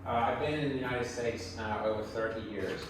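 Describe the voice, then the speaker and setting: A man's voice: mid-range voice, normal speed. Middle-aged english guy giving a casual reflective talk, really echoey room, mic far away. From England. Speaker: speaker giving a public talk. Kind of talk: conversational slide lecture. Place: indoor talk venue before an audience. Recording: echoey room from afar, faint noise.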